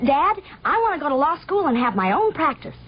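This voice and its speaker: A woman's voice: high-pitched, very animated, a little fast. A woman voicing an eager, ambitious young daughter in a radio commercial. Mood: eager, hopeful. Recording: muffled old radio recording.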